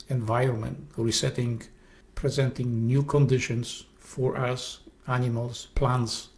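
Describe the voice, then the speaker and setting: A man with a Polish accent, Polish his first language, hosting an esoteric presentation in an earnest, halting way with frequent restarts. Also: medium pitch, a little mellow. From Poland. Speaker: host.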